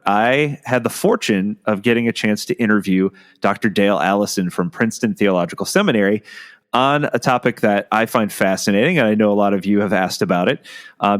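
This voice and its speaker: A man hosting a podcast, slightly hoarse from a cold, talking in a casual, rambling way with many fillers. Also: lowish voice. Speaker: podcast host. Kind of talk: casual solo podcast intro.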